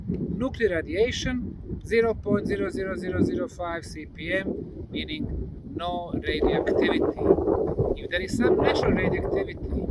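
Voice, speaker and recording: A man presenting and explaining on location, speaking deliberately in short, emphatic phrases. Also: high voice. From Bosnia. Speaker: presenter and field researcher. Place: outdoors in an open field. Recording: outdoor recording with loud wind noise.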